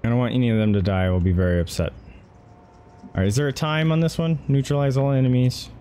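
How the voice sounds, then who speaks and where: A man's voice: mid-range voice, steady tone, quick pace, dark tone, a little hiss or hum. A man doing casual live gameplay commentary, reacting and swearing as he talks to himself and his viewers. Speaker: game streamer. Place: indoors, streaming setup at a computer. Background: game music.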